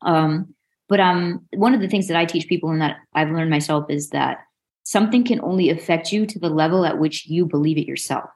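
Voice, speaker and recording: A woman's voice: low-pitched, brisk, darkish tone. A forty-year-old woman, a coach being interviewed, chatting frankly and informally. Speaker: mindset coach, interview guest. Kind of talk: casual interview chat.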